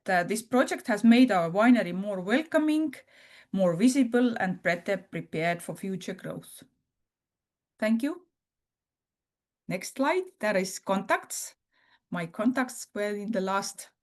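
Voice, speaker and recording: A woman gives a prepared presentation on a webinar as a guest speaker. Her voice has an average pitch for a woman. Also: expressive, slow pace. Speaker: winery representative, guest speaker.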